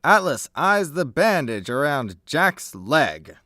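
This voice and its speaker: A man narrates a comedy radio play from a script. His delivery is animated and unhurried, in a fairly high voice recorded dry and close. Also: no room sound.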